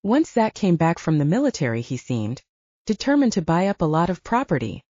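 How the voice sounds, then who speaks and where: Mellow, low-voiced woman narrating fiction. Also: very animated, brisk, no echo, muffled recording. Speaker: audiobook narrator.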